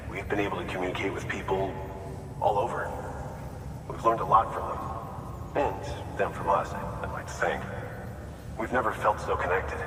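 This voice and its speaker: A man playing a grateful, awed settlement worker in a video game cutscene, speaking earnestly and haltingly. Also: lowish voice, close to an everyday voice. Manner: halting, earnest, trails off mid-sentence. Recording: echoey hall, distant, noisy ambience.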